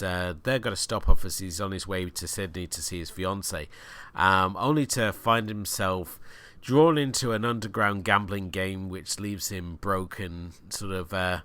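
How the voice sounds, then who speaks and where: Deep, clear-voiced man talking films. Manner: relaxed, enthusiastic recommendations. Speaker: podcast co-host. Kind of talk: casual film discussion.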